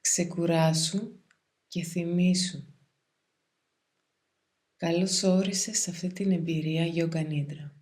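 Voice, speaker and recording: A woman guides a meditation in a calm, soothing, measured way, leaving pauses between phrases. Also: on the low side. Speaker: yoga nidra meditation guide.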